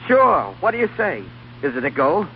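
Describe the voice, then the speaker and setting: Animated colleague in muffled old radio play. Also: male voice, sits high, moderate pace. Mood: eager, persuasive. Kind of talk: 1930s radio drama acting. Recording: dull, noisy old radio recording.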